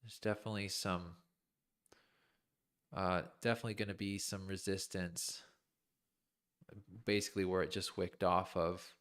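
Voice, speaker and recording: A man with a fairly low voice gives live trading commentary as he works. His voice is a little worn, as if he is losing it, and he talks informally, piecing his thoughts together as he goes. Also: flat delivery, moderate pace, long pauses, a bit bright, clean tone. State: losing his voice, clearing his throat. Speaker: crypto trading streamer. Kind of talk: live chart analysis commentary, thinking aloud.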